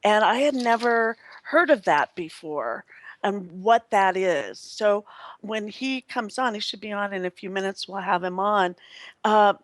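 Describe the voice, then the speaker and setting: A woman's voice: medium pitch, lively intonation, measured pace, no echo. A woman hosting a radio show in casual, unscripted talk, chatty and effusive as she meanders from thought to thought. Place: live internet radio broadcast.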